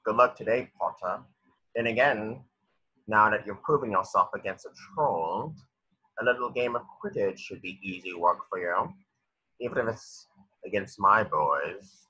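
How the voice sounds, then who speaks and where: A man's voice: fairly low pitch, measured pace, pushed and bright, slightly rough, heavily acted, rough recording. A man playing a stern, sardonic schoolmaster with sneering menace in a screenplay table read. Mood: veiled menace.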